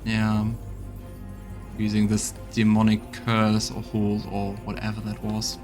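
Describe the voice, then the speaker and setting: A man's voice: lowish voice, completely flat delivery, takes it slowly, a little mellow, faint music behind. A man streaming a video game, reading out narration and adding his own casual commentary as host. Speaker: streamer, let's play host.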